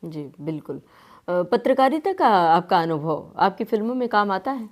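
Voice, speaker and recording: A woman's voice: medium pitch, big pitch swings, moderate pace. A woman hosting a radio interview, speaking politely and attentively. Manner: polite, frequent soft acknowledgements.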